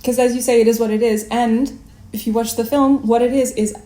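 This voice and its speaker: Echoey, mellow-voiced woman hosting an interview. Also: a little high, steady tone, fairly quick, slight background noise. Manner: effusive, encouraging. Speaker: podcast host. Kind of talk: warm conversational interview. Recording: echoey room.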